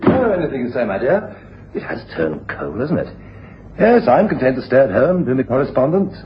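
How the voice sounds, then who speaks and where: A man acting a mild gentleman husband in a radio play, genteel and easily shaken. His hesitant speech swings very widely in pitch. The recording is dull, with slight noise. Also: big pitch swings, fairly quick, lightly acted. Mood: mild, contented. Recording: dull, faintly noisy 1980s radio broadcast.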